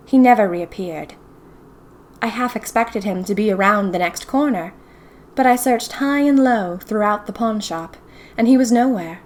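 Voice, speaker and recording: A woman's voice: medium pitch, a little mellow, lightly acted. A woman playing a young 1920s typist who confides eerie happenings to her diary in a chatty, dramatic, wry way. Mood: suspicious. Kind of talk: first-person audio fiction diary narration.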